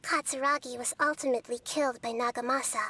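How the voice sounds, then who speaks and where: A wise young goddess speaks in a soft, measured game voice. Her pitch sits high for a woman, and she keeps an even, gentle calm as she guides and explains. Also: a little hiss or hum. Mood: explaining. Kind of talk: game character dialogue.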